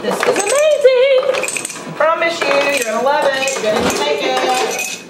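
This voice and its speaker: A woman's voice: sits high, lively intonation, unhurried, very noisy. A middle-aged woman hosting a casual home cooking demo, chatty and upbeat. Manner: chatty, upbeat, loose instructions. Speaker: cooking show host. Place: home kitchen. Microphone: far camera. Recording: echoey kitchen from far off, clattering dishes.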